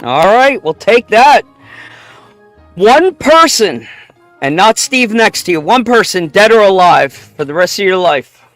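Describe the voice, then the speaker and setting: Male host, playful and quick with banter, high bouncy voice, dead-dry sound. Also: very animated, a bit bright, no room sound, soft background music. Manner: joking, laughs often, calls guest bro and buddy. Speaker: show host. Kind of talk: playful rapid-fire question game.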